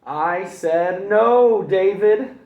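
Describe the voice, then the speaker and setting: A man's voice: sits high, far from the mic, narrow, tinny audio, pitched above the performer's own voice, full character voice. A man doing a stern scolding mom in an echoey room. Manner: loud, drawn-out warning calls. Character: stern scolding mother of a little boy.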